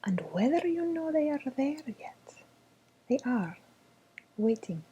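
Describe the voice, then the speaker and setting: A woman playing a soft-spoken fortune teller in an ASMR role-play, with a made-up foreign accent and a gentle, hesitant, whispery delivery. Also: high-pitched, big pitch swings, highs cut off, a little hiss or hum, voice pushed up, full character voice. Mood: gentle, reassuring. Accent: made-up, indeterminate foreign. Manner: slow, hesitant, gently reassuring, with pauses. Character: softly spoken mystical fortune teller woman reading tarot cards.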